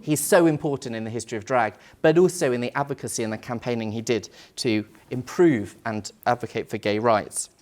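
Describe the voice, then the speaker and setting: A man's voice: mid-range voice, brisk, few pauses, a little mellow. Earnest man speaking as a museum curator. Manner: earnest and reflective, rhetorical questions. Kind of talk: panel talk.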